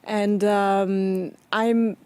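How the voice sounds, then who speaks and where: Woman from Morocco, halting interview guest. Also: medium pitch, little pitch movement, darkish tone. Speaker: interview guest, foundation founder. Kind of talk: conversational interview.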